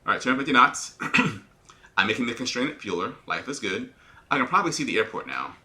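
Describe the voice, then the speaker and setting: Man with a sharp, forceful radio-style voice. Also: mid-range voice, big pitch swings, fairly quick. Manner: talks in a put-on radio-announcer voice; explains checklists step by step and answers viewer questions. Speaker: airline pilot. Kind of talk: live-streamed flight sim commentary.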